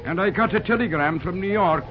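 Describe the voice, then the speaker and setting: Plain-spoken, good-natured Irishman of the railroad yards male actor, slightly high voice, vintage radio play with clear noise. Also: a little fast, lightly acted. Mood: earnest. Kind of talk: old-time radio drama acting. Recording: dull, noisy old radio recording.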